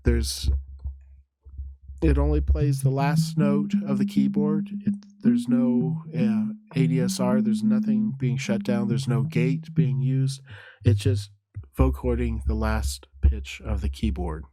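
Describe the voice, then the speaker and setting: A man presenting an instructional software demo in a halting, methodical, step-by-step way. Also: medium pitch, fairly even intonation, poor audio.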